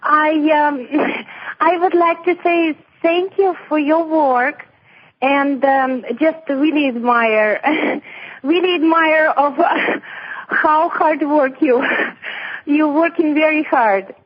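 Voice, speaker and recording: A high-voiced woman with a Russian accent phones in, her English halting and her voice choked with emotion. She laughs nervously between words, and her Russian-born speech comes through a muffled, dull phone line on air. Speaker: radio call-in listener. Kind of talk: phone call to a radio show.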